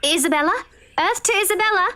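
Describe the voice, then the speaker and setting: A chirpy, supportive young woman from a visual novel. Her voice is extremely high and swoops with animation, bubbly and teasing throughout. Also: animated, quick pace, sharp tone, exaggerated voice. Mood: calling, teasing. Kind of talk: visual novel game voice acting.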